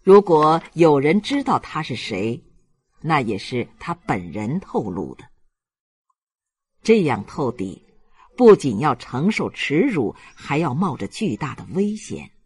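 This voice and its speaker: A man's voice: on the high side, big pitch swings, slow pace, slightly rough. A man narrating a literary audiobook in a dramatic storytelling style.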